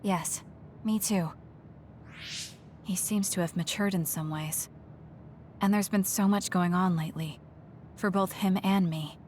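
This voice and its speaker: A woman voicing a composed, blunt young female soldier in an anime game dub, measured and reserved. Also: fairly low pitch, fairly even intonation, darkish tone, a little hiss or hum, natural voice. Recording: music playing behind the voice. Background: game background music.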